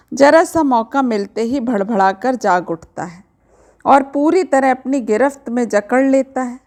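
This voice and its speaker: A woman with a fairly high voice narrates literary fiction in the first person. She takes her time, reading very slowly and expressively. Her delivery is conversational, as if addressing the listeners directly. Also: a little mellow. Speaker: storyteller reading a short story aloud.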